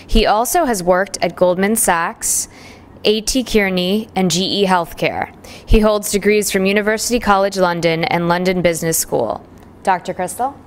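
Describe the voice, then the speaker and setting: A woman's voice: mid-range voice, normal speed, slight background noise. A woman moderator reading a formal introduction of the next speaker at a conference. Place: conference room.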